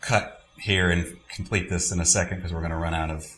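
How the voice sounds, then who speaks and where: A man's voice: sits low, fairly even intonation, fairly quick, a bit bright. A man co-hosting a casual language-lesson podcast, reading listener letters aloud with small stumbles.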